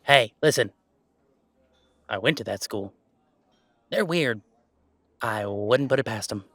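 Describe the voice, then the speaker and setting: A man plays a young male werewolf gamer with an everyday, mid-pitched voice and an unhurried delivery. He talks casually and wryly, pausing as he goes, like one side of an intimate roleplay chat. The sound is close and very dry. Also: animated, fairly bright tone, no room sound. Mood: animated, mocking. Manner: casual, halting, wry, conversational. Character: laid-back young male werewolf gamer, wry and chatty. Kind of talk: intimate roleplay audio, naturalistic one-sided conversation.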